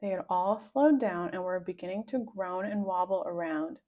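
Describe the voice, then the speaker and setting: A woman reading aloud a children's story with expressive scripted narration, on a slightly dull recording. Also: on the low side, normal speed. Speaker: storybook reader.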